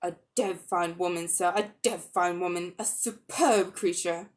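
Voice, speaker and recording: A woman's voice: lowish voice, fairly even intonation, unhurried, lightly acted. A woman voicing a vain, pompous old uncle in a dramatic storybook reading, wheedling and drawing out his words. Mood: self-admiring. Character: vain, pompous old man, a foolish upper-class magician uncle.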